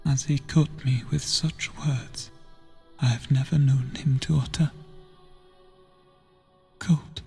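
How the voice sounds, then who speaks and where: A man playing a gentle, guilt-ridden lantern bearer in a fantasy video game, speaking softly in old-fashioned phrasing, half-whispered, hesitant and solemn. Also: medium pitch, fairly even intonation, slow pace, long pauses, faint music behind, full character voice. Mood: haunted, remorseful. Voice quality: breathy, husky, half-whispered. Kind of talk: soft-spoken video game NPC dialogue, theatrical archaic phrasing.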